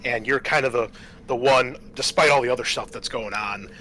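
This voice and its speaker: A man's voice: medium pitch, moderate pace, sharp tone, low-quality recording. A man narrating a tabletop roleplaying game as game master, improvising descriptions and explaining the rules, with music behind him.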